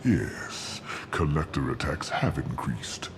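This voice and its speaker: An old alien warlord scientist with a deep voice, imperious and disdainful. His delivery is pompous and theatrical, in the manner of a sci-fi game villain, over clearly noisy ambience. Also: expressive, slow pace, full character voice. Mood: cold, imperious. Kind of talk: video game voice acting. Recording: loud ambient noise behind.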